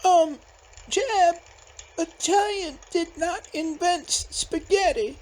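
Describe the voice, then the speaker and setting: A woman's voice: very high-pitched, takes it slowly, darkish tone, rough recording. A woman voicing a naive, timid, bookish little brother in an audio comedy, earnest and meek. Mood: earnest correcting. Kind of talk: audio comedy-horror acting.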